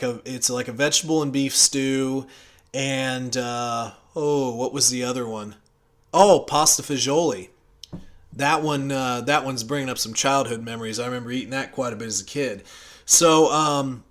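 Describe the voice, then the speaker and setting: An American man talking casually and unscripted as a channel host, in a rambling, conversational way with hesitant pauses. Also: mid-range voice, steady tone. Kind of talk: casual unscripted audio log.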